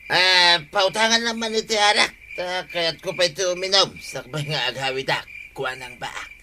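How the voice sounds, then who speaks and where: A man's voice: sits high, normal speed, sharp tone, slight background noise. A man with an Ilocano accent playing a drunken, blustering village husband who wheedles when cornered, in a radio comedy drama. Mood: drunk, cheeky.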